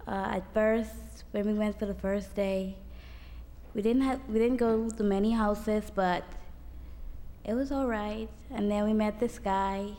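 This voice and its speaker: A woman's voice: medium pitch, fairly even intonation, measured pace, dark tone. A young woman telling her church group a casual story as a student testimony, chatty and full of fillers. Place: church hall. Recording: long hall reverb, faint noise.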